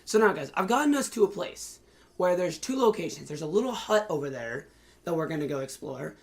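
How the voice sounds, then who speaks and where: A hoarse young man in college hosting a casual, excitable let's-play commentary with lots of fillers. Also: fairly high pitch, a little fast. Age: young adult. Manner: excitable, chatty, many fillers. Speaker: gaming channel host.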